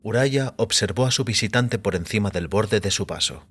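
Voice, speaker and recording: A man's voice: lowish voice, normal speed, husky. A man giving a scripted, dramatic literary narration for an audio story. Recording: background music under voice.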